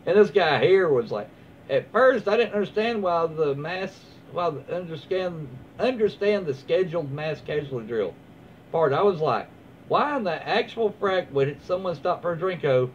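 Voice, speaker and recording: A man's voice: a little high, animated, normal speed. A man, reading stories aloud and commenting casually in a folksy, rambling, easygoing way. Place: small room at home, indoors. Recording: dull lo-fi sound with clear background noise.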